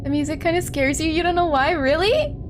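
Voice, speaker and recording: A woman hosting a live stream, chatting casually with viewers in an excitable, giggly, exclaiming way. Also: sits high, brisk. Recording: background music behind voice. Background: music.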